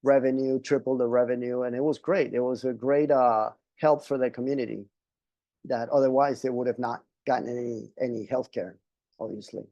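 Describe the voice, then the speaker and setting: A man whose first language is Spanish, talking on a webinar panel in a halting way, with many restarts and fillers. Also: mid-range voice, steady tone, creaky, dark tone, no echo, dull audio. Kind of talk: casual panel talk.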